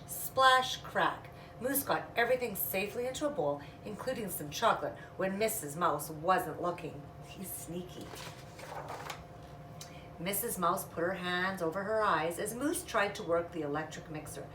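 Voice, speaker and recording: A woman reading a children's story aloud expressively, drawing out sound words and adding casual asides. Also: on the low side. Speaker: storytime reader. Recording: echoey room, distant mic, faint noise.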